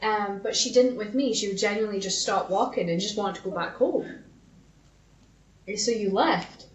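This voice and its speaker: A woman's voice: mid-range voice, moderate pace. A woman co-hosting a podcast in casual, sweary, giggly chat, recounting personal stories. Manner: informal, sweary, often giggly. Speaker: podcast co-host. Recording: echoey room, slightly dull sound.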